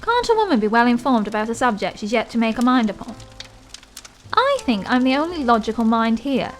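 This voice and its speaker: A woman's voice: fairly high pitch, very animated, a little fast, soft background music. A woman acting in an audio drama as a self-assured, logical young lady with a precise, arch way of debating.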